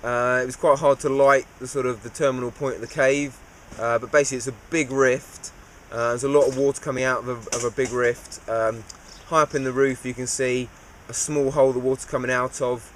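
A man's voice: medium pitch, brisk, hardly any pauses, slight background noise. A man, recapping a cave dive in an easygoing, understated way with lots of fillers. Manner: relaxed, understated, many "uh" fillers. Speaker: cave diver. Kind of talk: interview recap.

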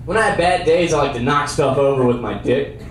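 A young man doing a stand-up comedy set with a handheld mic on a theater stage, trading dry one-liners for sudden loud bursts. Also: a little high, brisk, crowd noise. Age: young adult. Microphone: handheld mic on a cord. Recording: roomy sound from a far camera.

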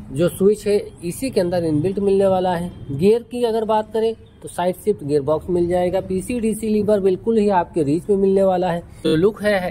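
A woman giving a walkaround review of a tractor, explaining each feature in a steady, repetitive way and often addressing farmers as brothers. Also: on the low side, slight background noise. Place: at a tractor dealership.

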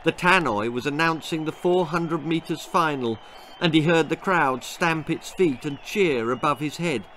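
An older man reading his children's story aloud in an expressive, dramatic narrating style. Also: on the high side, measured pace. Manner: expressive, theatrical. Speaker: author reading own story. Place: small book-lined room indoors.

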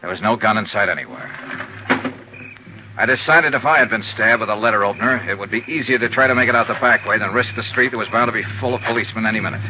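An actor playing a tough, wry American insurance investigator in a clipped 1950s radio detective style. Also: male voice, lowish voice, quick pace, dull audio, heavy background noise, close to an everyday voice. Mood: terse narrating. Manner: clipped, hard-boiled, matter-of-fact. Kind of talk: 1950s radio detective acting, first-person narration. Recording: band-limited 1950s radio recording.

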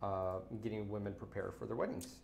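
A man hosting a live video call panel, asking quick follow-up questions. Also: fairly low pitch, fairly even intonation, creaky, a little mellow, slight background noise. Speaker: host. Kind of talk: interview chat. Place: indoor office, on a video call.

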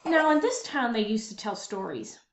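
A woman reading a children's story aloud with expressive, dramatic, emphatic phrasing. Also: a little high, normal speed, slight background noise. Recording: dull lo-fi, roomy echo.

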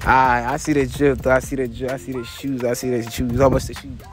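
A man's voice: medium pitch, level tone, a little fast. A young man hosting a casual street interview with heavy slang, hyped and repeating his phrases. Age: young adult. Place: outdoors on a residential street and parking lot. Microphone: handheld mic. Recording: outdoor street recording, faint music behind.

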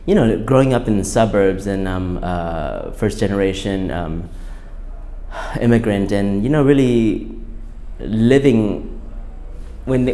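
A man in his thirties speaks in a deep, low voice. He answers questions in a relaxed, reflective manner, often pausing on fillers and restarting his sentences. He is seated at a table in a quiet studio, miked with a lapel mic. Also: slight background noise. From Southern California. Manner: thoughtful, hesitant, many fillers and restarts. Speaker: fashion designer, guest.

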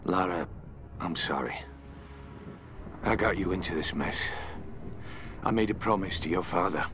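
An actor playing a weary, devoted older mentor, speaking earnest, tender, measured words in a video game letter. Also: male voice, sits low, background noise. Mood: tender, regretful.

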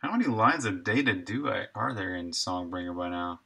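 A man's voice: low-pitched, moderate pace, creaky, bright, forceful tone, slightly rough. A middle-aged man thinking aloud in short, laid-back fragments as he live-streams his coding work. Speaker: game developer and live streamer. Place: indoor room at a desk, streaming while coding.

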